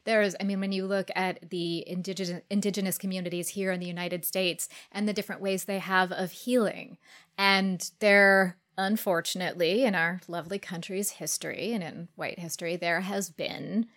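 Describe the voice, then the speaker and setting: A woman's voice: fairly low pitch, fairly even intonation, normal speed, fairly bright tone. A woman guest on a podcast, talking in casual discussion.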